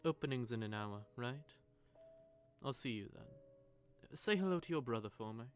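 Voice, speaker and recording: A man playing a smug, sardonic vampire who taunts a captive in a teasing, drawling, conversational monologue, with a gravelly voice. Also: medium pitch, animated, moderate pace, close to an everyday voice. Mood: polished, charming. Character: smug, sardonic male vampire gloating over a captive hunter. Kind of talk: scripted audio roleplay monologue addressed to the listener. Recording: background music under the voice.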